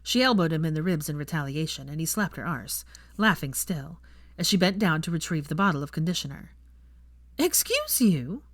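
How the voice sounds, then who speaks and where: Low-voiced woman narrating a story. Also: a little fast, no room sound, studio quality. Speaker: fan fiction narrator.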